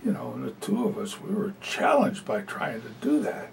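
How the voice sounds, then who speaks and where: A man's voice: huge pitch swings, normal speed, creaky. A man voicing a presentation as a sign language interpreter, talking conversationally with many fillers. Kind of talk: live spoken interpretation of a talk. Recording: distant mic with faint low rumble.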